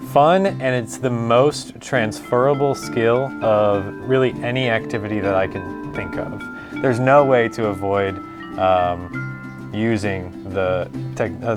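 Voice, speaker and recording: A middle-aged man who coaches a school speech team, explaining at an easy pace with fillers. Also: mid-range voice. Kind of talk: interview answers.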